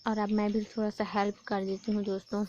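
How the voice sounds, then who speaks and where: A young woman from Chhattisgarh, hoarse from a sore throat, chatting casually to her viewers in a home vlog. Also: mid-range voice, completely flat delivery, quick pace, mellow, muffled recording, slight background noise. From Chhattisgarh, India. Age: young adult. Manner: chatty, keeps addressing viewers as friends. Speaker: vlogger. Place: village home during a festival.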